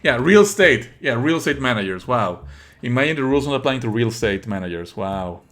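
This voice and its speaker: A man's voice: mid-range voice, lively intonation, fairly quick, a bit bright. A man whose native language is Spanish, giving sardonic, exasperated live commentary as he streams a game. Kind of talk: live let's play commentary.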